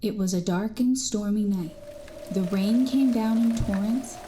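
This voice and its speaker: A woman's voice: mid-range voice, measured pace, dark tone, a little hiss or hum, close to an everyday voice. A woman playing a cheeky eight-year-old boy telling tall tales in an audio drama. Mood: eager, unruffled. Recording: music bed behind the voice.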